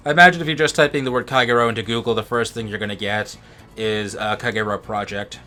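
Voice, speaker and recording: A man's voice: on the low side, steady tone, fast, sharp tone. A man co-commentating a let's play in casual chat, with a geeky, opinionated and casually profane way of talking. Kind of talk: casual chat over gameplay. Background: game music.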